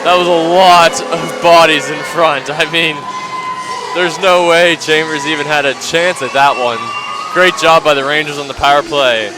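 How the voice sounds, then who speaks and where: Excited colour man over a roaring arena. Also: a little high, normal speed. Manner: excited, restarting sentences mid-thought. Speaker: colour commentator. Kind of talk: live hockey colour commentary. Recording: arena crowd noise behind the booth mic.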